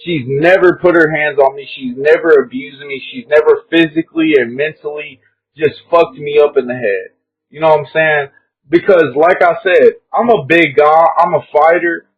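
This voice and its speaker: Young guy, husky voice a bit high, tinny phone line, keeps repeating himself. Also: moderate pace. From Kentucky. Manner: emphatic, repeats himself, says "bro" often. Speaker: guest caller. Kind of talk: earnest casual chat. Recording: tinny phone-like call audio.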